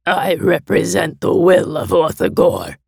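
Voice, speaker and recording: A woman voicing a diseased, arrogant male envoy of a horde lord, with a raspy, ruined-sounding throat and slow, haughty threats. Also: low voice, very animated, darkish tone, no echo, studio quality, full character voice. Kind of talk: audiobook character voice.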